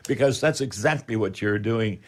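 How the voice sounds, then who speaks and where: Folksy Canadian radio host, older man. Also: fairly low pitch. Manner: folksy, halting delivery. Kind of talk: unscripted home improvement radio talk.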